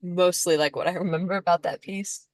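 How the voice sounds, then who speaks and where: A woman's voice: fairly low pitch, steady tone, fairly quick. A young woman moderating a casual group discussion in an informal, conversational way.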